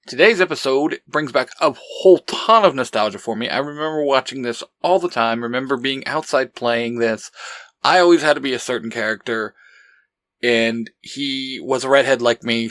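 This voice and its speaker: A man's voice: mid-range voice, a bit bright, no echo. A man speaking as a host in an announcer-like way, addressing listeners directly in scripted intros and promos. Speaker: host and podcaster. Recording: music behind the voice. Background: background music.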